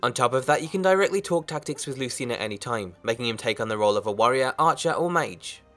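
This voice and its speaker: Fast-paced male review narrator. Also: medium pitch, lively intonation, fairly bright tone. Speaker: mod review host. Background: music.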